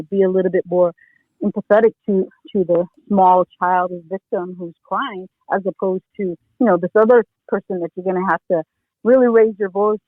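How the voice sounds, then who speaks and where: A woman's voice: medium pitch, no room sound. A middle-aged woman, a retired police officer, giving casual interview advice in a rambling way, full of "you know" fillers and restarts. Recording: tinny phone line.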